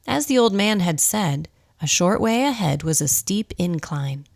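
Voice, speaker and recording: A woman's voice: on the low side, expressive, a little slow, gravelly. A woman narrating a radio drama in a scripted storybook style.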